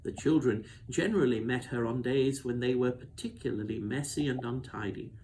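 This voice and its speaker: A man reading a children's novel aloud as expressive storybook narration. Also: mid-range voice, normal speed, poor audio.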